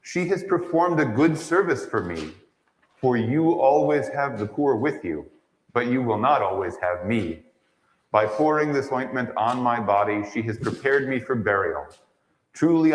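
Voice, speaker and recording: Man playing grave holy teacher, measured, declamatory, dramatic scripture reading, long church reverb. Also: medium pitch, natural voice. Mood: gentle rebuke.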